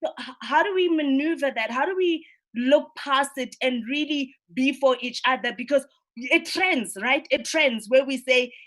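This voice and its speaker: A woman's voice: high-pitched, moderate pace, fairly bright tone. A woman in her thirties hosting a roundtable over a video call, speaking earnestly and animatedly with frequent tag questions. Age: 30s. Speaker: roundtable host. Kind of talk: virtual roundtable discussion.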